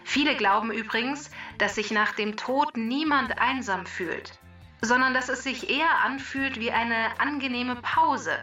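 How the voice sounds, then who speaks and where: An immortal database quizzing children, emphatic and sure of herself. The voice is fairly high and very crisp in tone, with slow delivery. It sounds a little distant, with music underneath. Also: female voice, sharp tone, lo-fi sound. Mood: confident, musing. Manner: theatrical, emphatic, addressing children directly. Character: immortal talking database, female quiz-giving know-it-all machine. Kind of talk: scripted explaining and quizzing for children.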